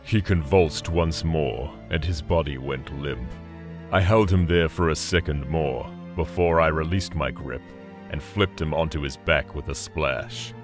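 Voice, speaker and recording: A man voicing a huge, gloating winged demon who relishes battle and narrates an audio drama in a deliberate, theatrical, menacing way, with music behind him. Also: a bass voice. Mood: grim relish. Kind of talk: first-person dramatic story narration.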